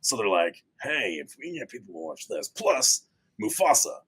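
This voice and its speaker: A man hosting a casual panel discussion, talking in a halting, sardonic way. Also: on the low side, big pitch swings, crowd noise. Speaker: host of a panel talk show.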